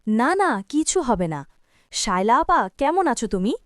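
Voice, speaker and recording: A woman voicing a gentle, kindly village housemaid in a cartoon. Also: a little high, sing-song, moderate pace, clean tone, no room sound, clean studio sound. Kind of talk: cartoon dialogue.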